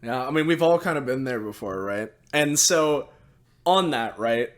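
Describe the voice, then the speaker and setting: A man's voice: mid-range voice, moderate pace. A man playing a tabletop game, narrating casually and checking in often as he goes. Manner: animated, repeatedly checks with "right".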